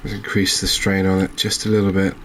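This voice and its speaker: Low, even-voiced man doing cozy game commentary. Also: a little fast, a little hiss or hum. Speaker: gaming channel host.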